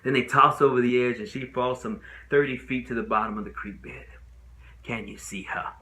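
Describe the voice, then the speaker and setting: A man acting a young trial lawyer delivering an earnest, pleading closing argument as a dramatic monologue, with deliberate, emphatic phrasing and pauses. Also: mid-range voice, steady tone, bright, forceful tone, natural voice. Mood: grave, anguished. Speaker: amateur actor.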